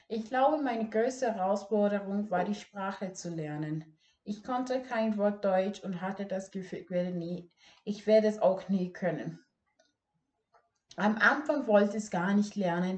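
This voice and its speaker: A teenage girl from Ghana, whose first language is Ga, gives an earnest and measured school speech in a plain indoor room, recorded by a camera set some distance away. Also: medium pitch, darkish tone. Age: teens. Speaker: student speech contestant. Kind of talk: memorized bilingual speech. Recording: echoey room.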